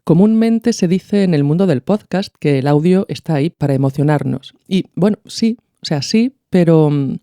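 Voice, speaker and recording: Low, mellow-voiced Spanish woman, thoughtful. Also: lively intonation, normal speed, hardly any pauses, no echo, studio quality. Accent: Peninsular Spanish. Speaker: podcast host. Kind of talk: reflective commentary with a literary reading.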